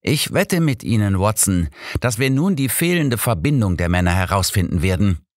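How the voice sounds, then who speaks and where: An old master detective, voiced by a male narrator in a mystery audiobook, shrewd and insistent. He speaks with emphatic, deliberate stress, pitched up from narration, in a dry recording. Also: big pitch swings, no room sound.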